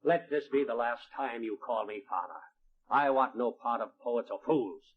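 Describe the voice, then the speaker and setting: A man acting a bitter, wealthy, domineering father in a radio drama, scornful and forceful, with a husky voice. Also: medium pitch, normal speed, natural voice. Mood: cursing, bitter. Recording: muffled old-time radio recording.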